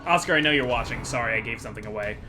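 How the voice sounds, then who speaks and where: A man's voice: mid-range voice, racing, noisy background. A man giving casual, rambling commentary as the host of a gaming video, chatty and digressive as he thinks aloud.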